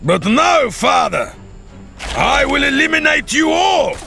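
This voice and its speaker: A defiant, furious supervillain for a video game. His very high voice swings wildly as he snarls and shouts. Loud music plays behind. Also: sing-song, slow pace, vocal fry, heavily acted.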